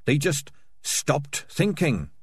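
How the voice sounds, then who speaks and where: A man voicing a gentle, fragile male rat in a storybook, earnest and mild with a mumbling delivery. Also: mid-range voice, animated, normal speed, pitched above the performer's own voice, lightly acted. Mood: dejected.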